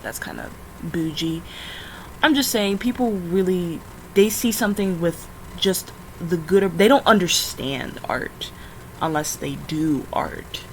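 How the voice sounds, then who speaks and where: A tired young woman with a scratchy morning voice talks in a rambling, casual way. She uses plenty of ums and restarts, and her pitch sits on the lower side. A faint hiss of background noise runs under the recording. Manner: rambling, frequent fillers, self-corrections. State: just woke up, tired. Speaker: vlogger. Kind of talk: casual rambling vlog while drawing.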